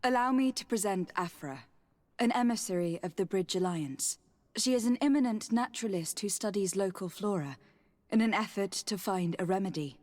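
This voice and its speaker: A woman's voice: mid-range voice, expressive, normal speed, darkish tone, close to an everyday voice. An actress playing a loyal, composed noblewoman diplomat in a video game, speaking formally and sincerely. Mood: caring, resolute. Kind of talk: dramatic video game acting. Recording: long hall-like reverb.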